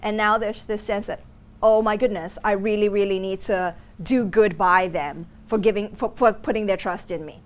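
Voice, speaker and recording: A woman's voice: mid-range voice, normal speed. Singapore-raised woman lecturing a class. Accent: muddled Singaporean and American. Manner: animated storytelling, asks the class questions. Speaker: business school lecturer.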